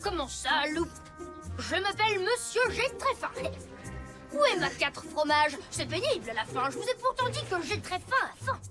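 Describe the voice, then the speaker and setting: A woman's voice: extremely high pitch, very animated, music in the background. Woman putting on a grumpy, demanding cartoon customer. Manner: slow, demanding, complaining. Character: grumpy, impatient, hungry customer in a pretend game. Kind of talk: children's cartoon dub.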